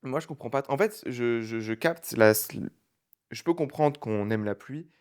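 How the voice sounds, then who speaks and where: A man's voice: medium pitch, a little mellow, smooth. A young man co-hosting a casual podcast, joking, rambling and teasing his friend, talking into a handheld mic. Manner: jokey, rambling, quick to tease. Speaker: podcast co-host. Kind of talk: casual chat.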